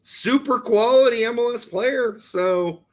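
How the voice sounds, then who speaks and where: A man's voice: very high voice, a little slow. A man with an American accent chatting casually about sports as a livestream co-host, blunt and opinionated.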